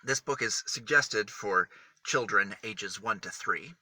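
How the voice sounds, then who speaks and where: A man reading a picture book aloud for small children, with deliberate, emphatic phrasing that sets single words apart. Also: lowish voice, bright, forceful tone, breathy edge. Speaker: children's storybook reader.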